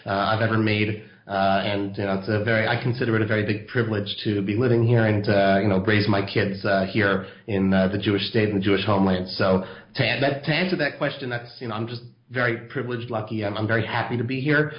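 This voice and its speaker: A man's voice: lowish voice, steady tone, fast, few pauses. An American man answering interview questions casually, hesitant and full of fillers and restarts. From United States. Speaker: interview guest. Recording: roomy, muffled sound.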